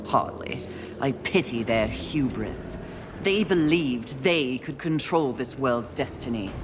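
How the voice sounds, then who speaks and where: Game role: stern female warrior, imperious, clipped and cold, with noisy rumble behind her. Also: animated, slow, heavily acted. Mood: grave, scornful. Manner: curt, cold, commanding. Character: stern, haughty female warrior on a grim mission. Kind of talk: video game cutscene dialogue.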